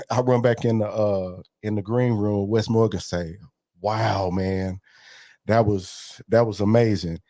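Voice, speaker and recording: Forties guy, lowish warm voice, dry close sound, tells stories and does the voices. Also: slow pace, dry recording. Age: 40s. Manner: animated storyteller, acts out quotes. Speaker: gospel artist guest. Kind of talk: casual interview storytelling.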